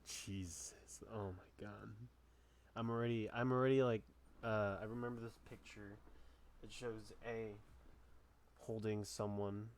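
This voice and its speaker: A man's voice: lowish voice, steady tone, a little slow, a little hiss or hum. A man reacting live to a video game story, blurting startled exclamations in a casual way. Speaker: gamer reacting.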